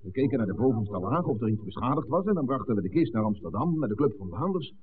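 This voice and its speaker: An actor playing a blunt, indignant village smuggler in a 1960s Dutch radio play, gruff and impatient in his phrasing. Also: medium pitch, very animated, fast, crowd noise, natural voice. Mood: bitter. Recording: tinny, noisy old radio recording.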